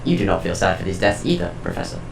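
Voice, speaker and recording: A man performs a calm, curious artificial intelligence with a deep, heavily creaking voice. It speaks in formal, precise sentences, yet its pitch moves expressively. The voice echoes over prominent music, as in a science fiction audio drama. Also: quick pace, full character voice. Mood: detached, formal.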